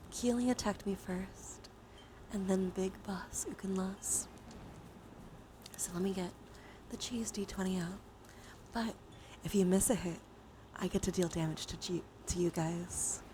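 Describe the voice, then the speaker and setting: Female live streamer, slow and gravelly with vocal fry, soft ASMR chat drifting into whispers, clear background noise. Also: mid-range voice. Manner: casually sweary. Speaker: live streamer hosting a chat dice game.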